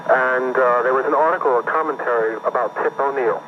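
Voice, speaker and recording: A man's voice: mid-range voice, fairly quick. A man calling a radio show, earnest and indignant as he lays out his complaint. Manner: earnest, indignant about politics. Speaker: caller. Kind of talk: call-in radio conversation. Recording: phone line on radio, hissy.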